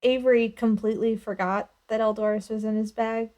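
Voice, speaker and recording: A woman's voice: fairly high pitch, flat delivery, brisk, darkish tone. A woman narrating her character's actions casually as a player in a tabletop roleplaying game.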